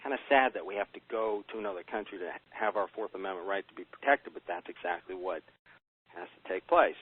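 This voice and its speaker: American man, low husky voice, emphatic sales pitch, rhetorical questions, phone-call audio. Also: lively intonation, fairly quick. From United States. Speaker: business presenter on a conference call. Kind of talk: persuasive pitch on a conference call. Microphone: telephone. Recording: tinny phone line.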